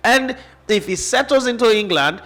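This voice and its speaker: Nigerian male sports host, slightly high voice, heated and argumentative, lots of rhetorical questions. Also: lively intonation, moderate pace, fairly bright tone. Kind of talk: opinionated football analysis chat.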